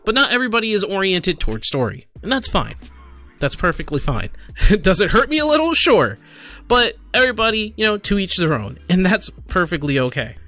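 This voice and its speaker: A man with a fairly high, bright voice talks through his reactions like a gaming video creator. He is casual and halting, thinking out loud as he goes, with faint music behind him. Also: sing-song. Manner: halting, conversational, thinking aloud with repeated questions. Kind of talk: casual reaction commentary, partly reading comments aloud.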